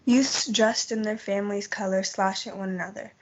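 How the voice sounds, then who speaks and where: A woman's voice: medium pitch, steady tone, normal speed, a little hiss or hum. A woman reading scripted narration for a student play. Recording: dull, muffled lo-fi audio.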